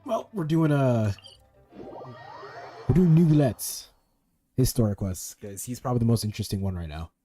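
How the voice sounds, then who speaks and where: Mellow man doing game commentary. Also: mid-range voice, lively intonation, normal speed, long pauses, soft background music. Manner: sweary, slangy. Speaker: gaming streamer. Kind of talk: casual playthrough commentary.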